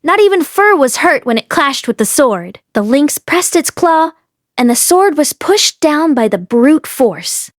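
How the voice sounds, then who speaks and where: A woman reading a fantasy novel aloud as scripted narration, in an expressive storytelling manner. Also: high-pitched, no echo, clean studio sound. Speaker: audiobook reader.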